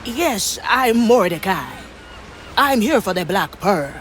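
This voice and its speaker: An actress playing a confident, plucky female pirate recruit in a video game, with a brisk, wry delivery. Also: medium pitch, big pitch swings, fairly bright tone, slight background noise.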